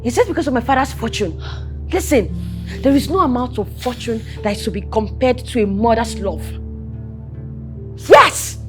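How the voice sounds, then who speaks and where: A woman's voice: fairly high pitch, animated, moderate pace, long pauses, fairly bright tone, soft background music. An actress with a Nigerian accent playing a haughty, scheming, hot-tempered young heiress in a film drama, emphatic and repeating her phrases. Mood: scolding. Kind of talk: melodramatic film acting.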